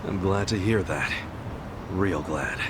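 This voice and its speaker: An actor in an English game dub playing a casual, good-hearted street tough with an informal, blunt manner. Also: male voice, sits low, takes it slowly. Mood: relieved. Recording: noisy urban ambience with vehicles.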